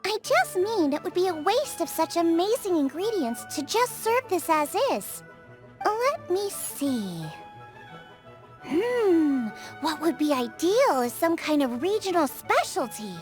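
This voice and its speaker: A woman's voice: very high-pitched, very animated, slow, faint music behind, full character voice. A woman voicing an upbeat, bossy young girl chef who gives eager instructions, in a game. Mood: thoughtful. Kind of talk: game voice acting. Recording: game soundtrack under voice.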